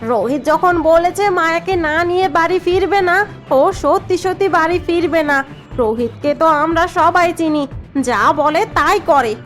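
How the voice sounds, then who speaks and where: A woman's voice: very high-pitched, moderate pace. A woman voicing a spiteful, blunt young daughter-in-law in a Bengali cartoon. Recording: music behind the voice.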